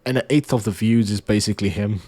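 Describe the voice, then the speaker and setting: Man with a low, dark nasal voice. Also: fast talker. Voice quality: nasal from a cold. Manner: laid-back, joking, calls listener dude and bro. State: sick with sinus trouble. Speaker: streamer. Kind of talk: casual chat about streaming.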